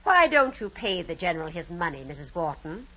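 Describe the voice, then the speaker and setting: An actress in an old radio drama playing a prim, blunt government-clerk busybody with a clipped, insistent delivery. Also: female voice, lowish voice, sing-song, brisk, sharp tone, exaggerated voice. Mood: blunt, needling. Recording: dull vintage radio broadcast sound.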